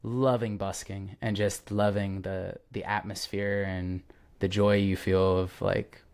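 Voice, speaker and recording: A man's voice: deep voice, flat delivery, unhurried, gravelly, a little mellow, clear, resonant tone. A young man hosting a podcast, chatting casually and enthusiastically, with lots of fillers and restarts. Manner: enthusiastic, rambling with many fillers. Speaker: podcast host. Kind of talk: casual interview chat.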